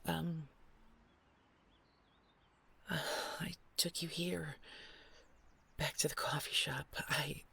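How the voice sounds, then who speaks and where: Protective female nightmare companion played by a woman, horror audio drama: very raspy, breathy, heavy fry, earnest and anxious delivery. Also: low-pitched, fairly even intonation, slow pace, pauses often, sharp tone, full character voice. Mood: worried, apologetic. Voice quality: husky, gravelly. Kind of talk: horror audio roleplay acting.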